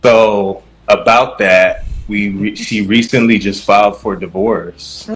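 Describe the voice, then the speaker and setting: A man's voice: fairly low pitch, fairly even intonation, takes it slowly, faint music behind, low-quality recording. A man in his thirties who is a dating show contestant and answers hesitantly and evasively, full of fillers. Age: 30s.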